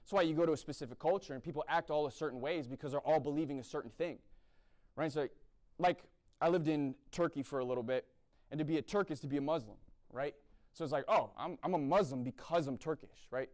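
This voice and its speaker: Young man from the East Coast, blunt preacher, slightly high voice, peppers his talk with "right?". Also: quick pace, a little mellow. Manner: blunt, repeats "right?" often. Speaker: speaker at a university chapel event. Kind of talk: sermon-style talk.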